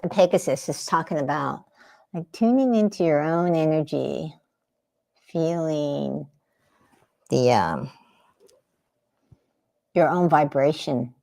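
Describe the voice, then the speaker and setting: A woman's voice: low-pitched, slow, vocal fry, long pauses, darkish tone. Chatty woman livestreaming from her art studio. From San Francisco Bay Area. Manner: chatty and meandering, answering viewers' comments. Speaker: livestream host and spiritual artist. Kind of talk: casual livestream chat while painting. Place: home art studio under daylight lamps.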